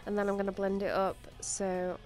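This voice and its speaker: A woman's voice: mid-range voice, completely flat delivery, normal speed, darkish tone. A young woman with a slightly stuffy voice from a cold, rambling casually through a makeup tutorial with plenty of ums and likes. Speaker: makeup vlogger. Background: faint music.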